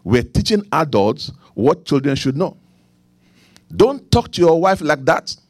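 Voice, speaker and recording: A middle-aged man with a Nigerian accent preaching a sermon, repeating key lines, leaning into emphatic points and then dropping low for quiet asides. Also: big pitch swings, measured pace, no echo, clean studio sound. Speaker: pastor.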